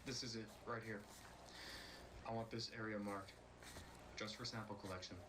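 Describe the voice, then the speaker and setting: A man's voice: lowish voice, level tone, moderate pace, lightly acted. A man playing a terse scientist giving businesslike field orders in an analog horror audio log. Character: terse male scientist leading a field sample collection. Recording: noisy, distant field radio log.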